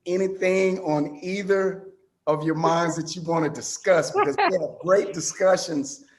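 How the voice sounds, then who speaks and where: A man interviewing guests, asking measured questions and affirming with repeated okays. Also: high-pitched, steady tone.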